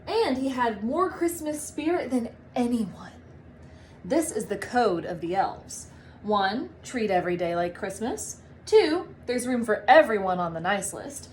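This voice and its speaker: A woman reading a story aloud to children like a teacher, with animated, drawn-out storybook phrasing. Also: a little high, slight background noise. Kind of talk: expressive read-aloud storytelling.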